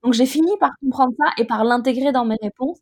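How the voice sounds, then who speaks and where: High-voiced woman, reflective and measured. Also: a little mellow. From Côte d'Ivoire. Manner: reflective, measured, with frequent hesitations. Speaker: interview guest. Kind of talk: personal testimony in an interview.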